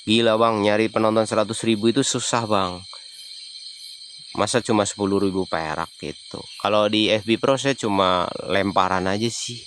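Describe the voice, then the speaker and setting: Man with a Javanese accent, low voice, relaxed streamer chatting to viewers, faint night ambience. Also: moderate pace, a little hiss or hum. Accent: Javanese (Banyumas). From Banyumas, Central Java. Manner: chatty, answers viewer comments. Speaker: livestreaming explorer. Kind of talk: casual livestream chat.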